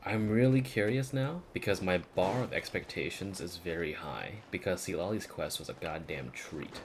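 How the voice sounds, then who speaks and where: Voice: deep voice, moderate pace, slight background noise. A game streamer chatting casually on a livestream, sometimes gushing and sometimes murmuring to themself while working. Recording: music playing behind the voice.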